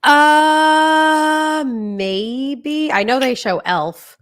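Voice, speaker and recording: A woman's voice: sits high, extremely slow. A woman co-hosting a podcast, casually and enthusiastically chatting and giving movie recommendations. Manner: enthusiastic, conversational. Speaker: podcast co-host.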